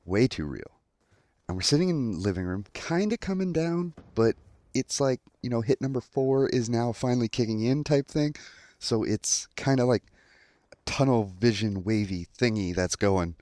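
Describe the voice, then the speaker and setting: A man's voice: medium pitch, animated, moderate pace, mellow, no echo, studio quality. A young adult man telling a story in a casual commentary style, acting out quotes as he goes. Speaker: online storyteller.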